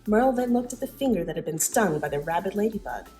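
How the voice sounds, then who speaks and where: A woman reads a story aloud in a dramatic, expressive way. She slips into casual commentary between passages. Music plays underneath. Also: mid-range voice, quick pace. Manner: expressive, acts out dialogue. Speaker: vlogger reading own childhood story.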